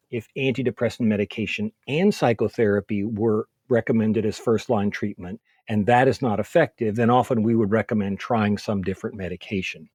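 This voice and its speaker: Guy doctor type explaining guidelines in an interview, bit husky, measured and methodical, really dry sound. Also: mid-range voice, a little mellow, no room sound. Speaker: medical expert guest. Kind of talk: interview explanation of clinical guidance.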